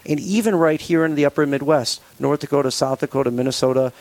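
A man's voice: on the high side, darkish tone, dry recording. Thoughtful man hosting a faith radio show. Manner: measured, thoughtful, frequent fillers. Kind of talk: conversational radio interview.

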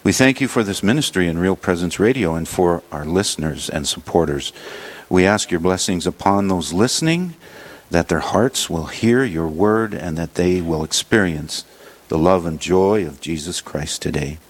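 A man hosting a religious radio show, leading prayer and introducing guests in a halting way with many fillers. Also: low voice, animated.

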